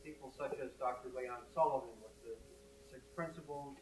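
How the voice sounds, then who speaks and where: A man's voice: mid-range voice, steady tone, fairly quick, darkish tone. A man in the audience asks the speaker a question, hesitating with frequent fillers. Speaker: audience member asking a question. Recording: distant, echoey hall with hum.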